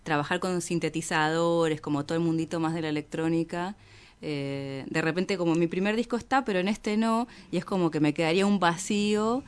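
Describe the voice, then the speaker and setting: A woman's voice: lowish voice, a little fast, smooth. A woman chatting casually as an interview guest, hesitant and searching for her words. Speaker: interview guest, electronic musician. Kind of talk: casual chat in a radio interview.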